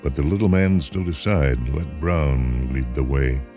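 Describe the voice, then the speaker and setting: A man's voice: a bass voice, a little slow, mellow. A man reading a speculative short story as a dramatic, scripted narration. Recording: music behind the voice.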